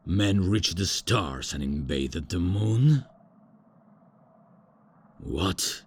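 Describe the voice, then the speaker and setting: A man's voice: deep voice, lively intonation, extremely slow, slight background noise, exaggerated voice. A man voicing a haughty, out-of-touch ancient male vampire lord in a comedy ASMR roleplay, with a pompous, theatrical manner. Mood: indignant.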